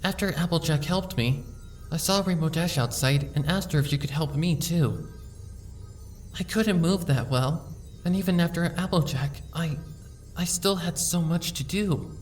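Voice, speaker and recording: A woman voicing a timid, sick young female pony who speaks softly and stammers as she pleads. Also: low-pitched, normal speed, distant mic, soft background music, close to an everyday voice. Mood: timid, shaky. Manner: soft, hesitant, stammering, pleading. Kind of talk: fan audiobook reading.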